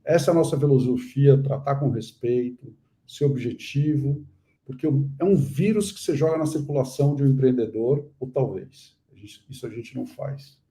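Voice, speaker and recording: A man's voice: mid-range voice, normal speed, dark tone. A middle-aged man from Brazil, a guest investor talking earnestly in a live interview, with many fillers and restarts. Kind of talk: casual live interview chat.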